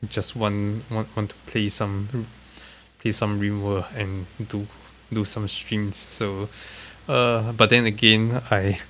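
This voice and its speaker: A man with a nasal, congested voice from a recent illness, giving halting, casual commentary on a game live stream. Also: lowish voice, level tone, fairly bright tone, a little hiss or hum. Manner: halting, hesitant, often trailing off. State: congested, recovering from being sick.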